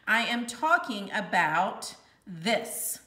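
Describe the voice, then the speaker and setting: A woman voicing a stern, controlled female schoolteacher in a storybook, firm, emphatic and measured, in a roomy recording. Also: medium pitch, sing-song, bright, forceful tone, heavily acted. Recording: roomy, slightly distant home recording.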